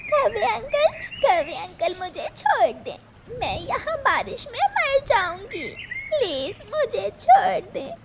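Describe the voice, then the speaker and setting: Very high-pitched little girl bird. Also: wildly swooping pitch, slow, heavy background noise, full character voice. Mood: frightened, pleading. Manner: childlike, pleading. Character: innocent little girl bird, frightened and pleading. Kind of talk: Hindi cartoon character dialogue.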